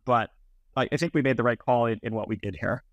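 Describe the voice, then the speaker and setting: A man's voice: medium pitch, quick pace, creaky, no echo, muffled recording. Man explaining, close dry sound. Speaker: interview guest, software founder. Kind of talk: explanatory technical interview. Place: small plain room indoors, video call. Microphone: earbuds on a video call.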